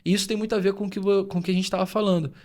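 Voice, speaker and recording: Earnest high-voiced man. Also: little pitch movement, rapid-fire, a little mellow. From Rio de Janeiro state, Brazil. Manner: earnest, thoughtful, explains at length. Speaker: podcast co-host. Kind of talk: reflective podcast conversation.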